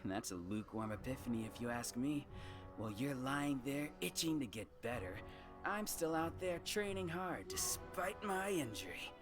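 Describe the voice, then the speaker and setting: A man's voice: medium pitch, animated, normal speed, music in the background, close to an everyday voice. A man playing a restless, cocky wounded young soldier in an anime game, brash and impatient.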